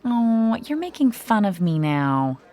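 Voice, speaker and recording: A woman's voice: mid-range voice, big pitch swings, takes it slowly, warm tone, faint music behind. Earnest woman in a radio drama. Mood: teasing. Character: earnest, superstitious theatre woman explaining magic lore.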